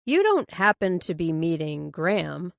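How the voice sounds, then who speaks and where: A woman's voice: on the low side, sing-song, unhurried, gravelly, no echo, clean studio sound, full character voice. A woman voicing a bashful, awkward male police detective in hesitant, sheepish audiobook dialogue. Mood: awkward, embarrassed.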